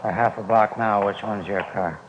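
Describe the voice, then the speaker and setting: An actor playing a surly, evasive garage mechanic in a 1950s radio drama, grudging and defensive in manner. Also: male voice, lowish voice, fairly even intonation, moderate pace, natural voice. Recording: noisy, dull 1950s radio recording.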